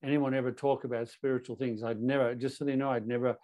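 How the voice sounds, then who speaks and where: A Canadian-born man with an Australian accent, sharing his personal testimony as a guest speaker in an earnest, halting way with many restarts. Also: medium pitch, little pitch movement, warm tone.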